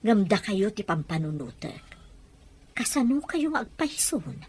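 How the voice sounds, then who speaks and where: A woman's voice: mid-range voice, big pitch swings, a little slow, a little mellow, breathy edge. A woman acting a protective, motherly older aunt who probes and worries, in a radio drama with an Ilocano accent. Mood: worried, confiding.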